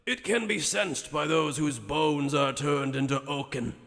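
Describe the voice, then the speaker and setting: A man voicing an ancient, grave and knowing sage in an anime fan dub, with a gravelly voice and measured, theatrical delivery. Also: fairly high pitch, a bit bright. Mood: solemn, emphatic. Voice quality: gravelly, creaky. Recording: long hall reverb on the voice.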